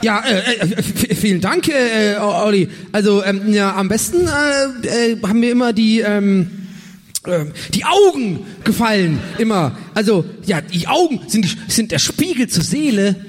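A man's voice: high-pitched, expressive, unhurried. A man playing a flustered, bashful, stammering boy detective in a comic radio play. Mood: embarrassed, stammering.

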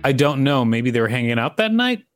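Man, podcast co-host, medium pitch, casual read-and-banter, music underneath. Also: very animated, a little fast, no echo. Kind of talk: reading an article aloud with casual banter.

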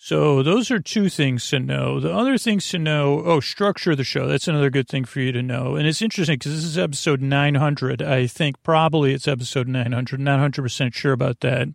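Rambling, creaky-voiced male podcast host. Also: mid-range voice, dry recording, studio quality. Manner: meandering, halting, self-interrupting digressions; self-deprecating. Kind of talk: rambling bedtime monologue.

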